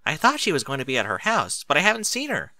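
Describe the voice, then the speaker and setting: A man doing an excitable high-pitched little filly. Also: fast, no room sound, heavily acted. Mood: exasperated. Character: impatient, excitable little filly, a young girl.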